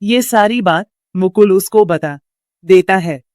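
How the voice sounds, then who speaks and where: A woman's voice: mid-range voice, slow pace, darkish tone, dry recording, clean studio sound. A woman reading a scripted story as its narrator.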